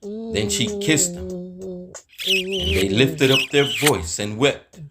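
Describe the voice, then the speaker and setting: A man giving a measured, solemn dramatized reading of scripture as narrator. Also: fairly high pitch, big pitch swings, very noisy.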